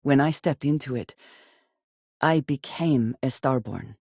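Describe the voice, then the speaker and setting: An actress voicing a guarded, solemn spacefaring companion in a video game, speaking in a measured, formal and blunt way. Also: female voice, extremely low pitch, a little mellow, slightly rough, dry recording, studio quality, exaggerated voice. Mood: solemn, earnest. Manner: measured, formal phrasing with few contractions. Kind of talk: scripted game character voice lines.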